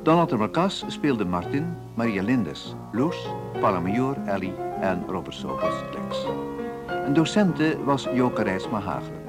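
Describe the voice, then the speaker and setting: A man announces radio drama credits from a script. His voice sits fairly low and moves with lively intonation. Loud piano music plays behind him. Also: a little slow.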